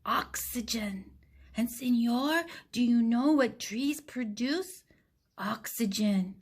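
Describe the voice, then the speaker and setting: A woman voicing earnest tree porcupines in a storybook, in a breathy, whispered voice. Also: on the high side, slow pace.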